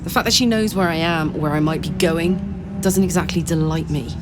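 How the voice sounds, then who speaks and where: A tough warrior woman, played by an actress with a low voice, speaking bluntly and wryly as a game character. She sounds weary of a hellish past, and dramatic music plays loudly behind her. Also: normal speed, lightly acted. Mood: wary, wry. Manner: blunt, wry, informal. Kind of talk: video game voice acting.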